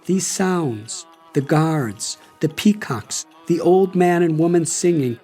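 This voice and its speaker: Older guy reading his memoir, slow and thoughtful, kinda high voice, soft music under it. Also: husky. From United States. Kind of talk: audiobook narration.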